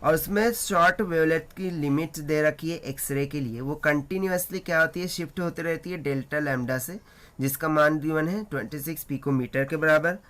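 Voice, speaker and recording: A man's voice: fairly high pitch, moderate pace, fairly bright tone. A man with an Indian accent working through a physics problem step by step as a tutor, explaining as he mixes Hindi and English.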